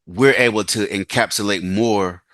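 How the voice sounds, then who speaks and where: A man hosting a conversational interview, speaking in a casual, halting way full of fillers. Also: fairly low pitch, a bit bright, no echo, clean studio sound. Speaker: host.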